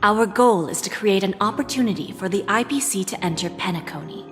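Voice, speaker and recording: A woman's voice: medium pitch, a bit bright, natural voice. Composed corporate envoy woman voiced by an actress, reverberant. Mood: determined. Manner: measured, formal, self-assured. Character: composed, shrewd senior corporate envoy woman, calculating mentor. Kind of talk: video game voice acting. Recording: reverberant hall, music behind.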